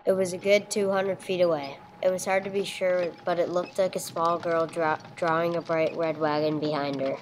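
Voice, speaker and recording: A woman acting as a sober police detective with a low voice, narrating in clipped, matter-of-fact sentences. It has the style of a 1950s radio crime drama, recorded with clear background noise. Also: steady tone, normal speed, hardly any pauses, lightly acted. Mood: sober, reflective. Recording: clearly noisy amateur recording.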